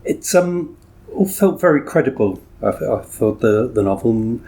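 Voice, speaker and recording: A man's voice: medium pitch, expressive, normal speed, dark tone, slightly rough. A man working as an interviewer, speaking in a conversational, slightly hesitant and thoughtful way.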